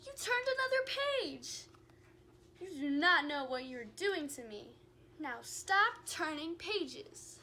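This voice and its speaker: A woman's voice: high voice, pushed and bright, slight background noise, exaggerated voice. A woman voicing an anxious, pleading furry male monster in a children's book reading. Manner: frantic pleading storybook reading. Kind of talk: children's book read-aloud.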